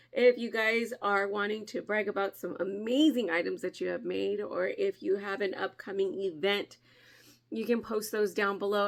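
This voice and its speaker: Brief: chatty woman vlogger, nasal with a cold, gravelly edge, mid pitch. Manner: chatty, friendly, often laughing. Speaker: crafting channel host. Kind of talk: casual vlog chat.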